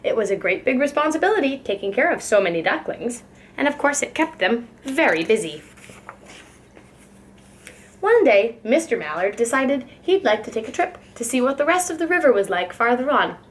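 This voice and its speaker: A woman's voice: a little high, sing-song, normal speed. A woman reading a children's picture book aloud like a preschool teacher, expressively and with care for young listeners.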